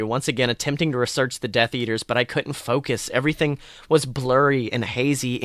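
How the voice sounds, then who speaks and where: A man narrating a first-person story in a dramatic, expressive way. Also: medium pitch, dry recording, studio quality. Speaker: podcast host reading fan fiction.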